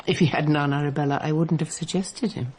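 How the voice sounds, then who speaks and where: An actress playing a scheming aristocratic mother who speaks in a measured, calculating way. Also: deep voice, steady tone, darkish tone, a little hiss or hum, natural voice. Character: scheming aristocratic mother, older woman, mercenary. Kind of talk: BBC radio drama acting.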